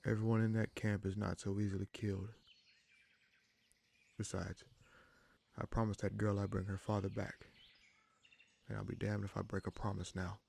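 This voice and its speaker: A man playing a gruff but caring human bandit leader in a fantasy audio roleplay, wry and protective, with informal, halting phrasing. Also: little pitch movement, darkish tone, slight background noise. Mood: wry, determined. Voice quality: gravelly, husky low voice.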